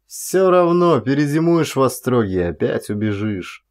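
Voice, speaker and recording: A man's voice: mid-range voice, big pitch swings, normal speed. A man voicing a reluctant, kind-hearted rustic forest watchman who grumbles in a folksy drawl in an audiobook story. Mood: exasperated.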